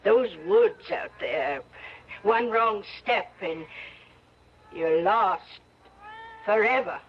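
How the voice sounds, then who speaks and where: A woman playing an old backwoods granny in a radio drama, feisty and sly, with folksy, halting rural talk. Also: medium pitch. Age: older. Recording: dull, noisy old radio recording.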